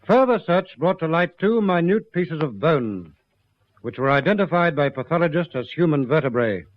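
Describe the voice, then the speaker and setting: A male narrator of a vintage radio crime series, his voice a little high. He reads formally, with grave, measured emphasis. The sound is dry and muffled. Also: lively intonation, dry recording. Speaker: narrator of a police drama series. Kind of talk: formal scripted 1950s-style radio narration.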